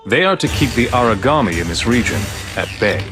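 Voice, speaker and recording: An actor playing a stern, dignified branch director in a video game cutscene, formal, measured and commanding. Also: male voice, medium pitch, sing-song, over music, lightly acted. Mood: grave, lecturing.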